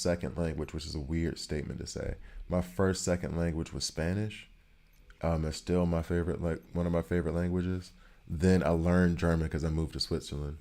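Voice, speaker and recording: A man's voice: low voice, vocal fry. An American man in his thirties, chatting casually with viewers while he plays, rambling and joking as he answers their questions. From United States.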